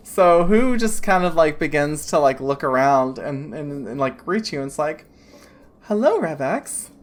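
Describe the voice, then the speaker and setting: A woman's voice: low voice, animated, measured pace. A woman narrating a tabletop game as its game master, rambling with lots of fillers and laughing often.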